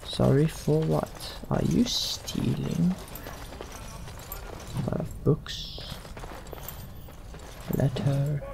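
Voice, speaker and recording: A man's voice: a little high, extremely slow, gravelly, pauses often, noisy background. A man hosting a let's play, musing aloud in casual game commentary with some role-play. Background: game music.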